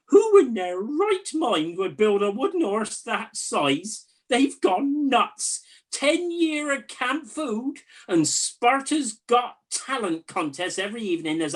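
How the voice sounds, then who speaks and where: A woman's voice: fairly high pitch, breathy edge, exaggerated voice. A woman playing a chatty, gullible Trojan soldier in a comic radio play, musing in a wry, conversational way. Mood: amused disbelief. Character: bored, gullible, chatty Trojan night-watch soldier in a spoof.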